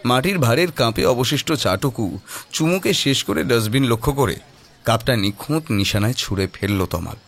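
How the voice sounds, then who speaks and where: A man's voice: mid-range voice, animated, moderate pace, a bit bright. A man narrating a scripted horror story in a dramatic manner.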